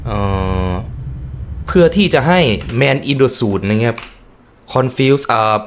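A man's voice: mid-range voice, animated, drawn-out words, a little hiss or hum. A man giving casual commentary as a video host, translating as he goes, hesitant and often correcting himself as he thinks aloud. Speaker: video host reacting to and translating an English horror video.

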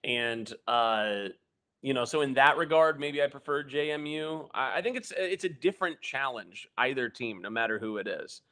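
A man's voice: mid-range voice, normal speed, sharp tone. Chatty young man breaking down basketball. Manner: rambling, analytical, frequent fillers and restarts, laughs often. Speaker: podcast co-host. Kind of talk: casual postgame sports chat.

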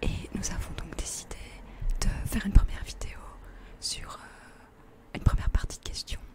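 A woman, reading questions haltingly in a breathy ASMR whisper close to the microphone. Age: 20s. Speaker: co-host reading out viewer questions. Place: small attic room indoors. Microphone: desk condenser microphone, close.

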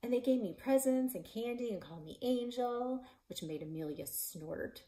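Brief: expressive woman narrator for a middle-grade read-aloud, pitch that swings widely, recorded at home by a fireplace. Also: moderate pace. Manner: lively, voicing characters with shouts and whispers. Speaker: librarian and author reading her novel aloud. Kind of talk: children's book read-aloud. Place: living room in front of a stone fireplace.

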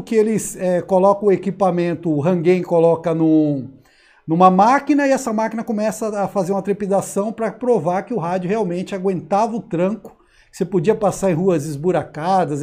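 Male Brazilian host, forties, high-pitched, casual and emphatic, slangy delivery to camera. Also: mellow. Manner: slangy, emphatic, repeats words and seeks agreement. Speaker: host of a CB radio channel. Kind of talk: casual, chatty commentary to viewers. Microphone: lapel mic.

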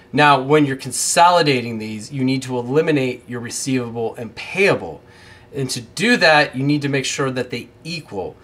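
An American man in his thirties gives an explanatory tutorial into a close microphone. His voice is bright and forceful at an average male pitch. He talks informally, like an instructor who doesn't mind poking fun at himself. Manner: informal, self-deprecating asides. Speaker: accounting instructor. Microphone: close microphone on a boom arm.

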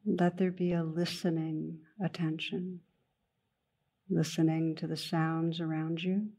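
A woman guides a meditation in a low, mellow voice. Her delivery is very slow and gentle, with long pauses between phrases. The melody stays flat and even, like a teacher leading a calm practice. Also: husky.

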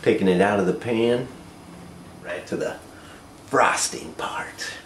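A North American man with a low voice talks through cooking steps as an amateur host. His delivery is plain and unhurried. He is recorded on a camera mic in a roomy kitchen, with clear background noise. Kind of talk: casual step-by-step cooking demonstration. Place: home kitchen, indoors. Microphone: camera microphone, a short distance away.

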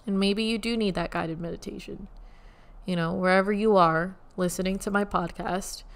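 A woman hosting her own podcast in casual solo talk, with a soothing voice, gently guiding listeners through a short meditation. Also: medium pitch, steady tone, moderate pace, gravelly. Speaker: podcast host. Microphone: USB studio microphone.

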